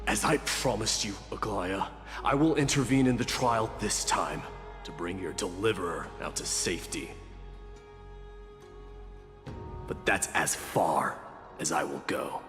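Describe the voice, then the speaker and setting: A man playing a weary, commanding warrior lord in a game dub, measured, formal and gallant. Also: sits low, close to an everyday voice. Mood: firm, weary. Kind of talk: English game dub voice acting. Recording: long reverb, background music.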